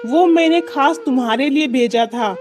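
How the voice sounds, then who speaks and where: A woman's voice: sits high, normal speed, close to an everyday voice. A woman voicing a kindly male talking magical tree in a children's cartoon, speaking in an earnest, reassuring way. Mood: earnest, revealing. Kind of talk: children's cartoon story dialogue.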